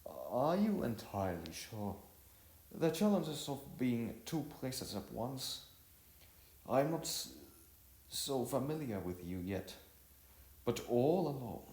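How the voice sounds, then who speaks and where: A man voicing a concerned, gentle young woman in a storybook, with a hesitant, questioning manner. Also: medium pitch, sing-song, takes it slowly, reverberant, voice pushed up.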